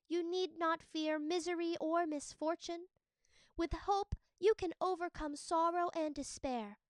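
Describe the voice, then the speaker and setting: A woman voicing a small shining winged spirit in a storybook, gentle, encouraging and reassuring. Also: very high-pitched, no echo, voice pushed up.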